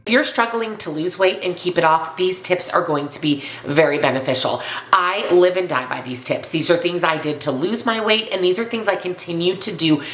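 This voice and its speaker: A woman with a congested, slightly raspy voice from a cold, speaking earnestly and emphatically as a coach addressing viewers in an instructional vlog. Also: on the low side, a little fast, a bit bright, roomy sound. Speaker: weight loss and nutrition coach presenting to viewers. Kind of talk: upbeat instructional vlog to camera.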